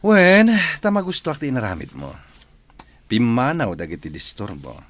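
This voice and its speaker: A man's voice: medium pitch, huge pitch swings, normal speed, a bit bright. A man playing a crafty, gloating, domineering boss in an Ilocano radio drama.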